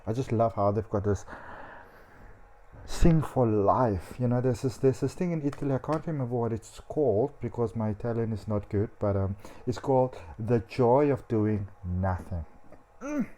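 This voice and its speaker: Chatty guy doing a tarot reading, mellow warm tone, lots of ums and you knows, faint hiss. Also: mid-range voice, normal speed. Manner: chatty and animated, with frequent "um" and "you know". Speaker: psychic tarot reader.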